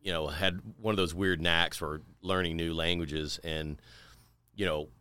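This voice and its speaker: Deep-voiced man telling stories, bright crisp tone. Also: fairly even intonation, a little slow, no echo. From Tennessee, US. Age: middle-aged. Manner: rambling, with fillers and repeats, laughs. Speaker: podcast guest. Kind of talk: casual interview storytelling.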